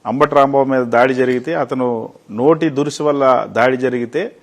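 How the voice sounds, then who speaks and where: A man's voice: medium pitch, fairly even intonation, normal speed. A man working as a TV interviewer, putting long, respectfully addressed questions in a news interview.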